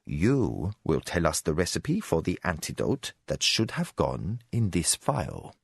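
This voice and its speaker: A man voicing a cold, menacing male spy master who speaks low, slow and measured. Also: huge pitch swings, gravelly, slightly rough, dry recording, studio quality, exaggerated voice. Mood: reasonable menace.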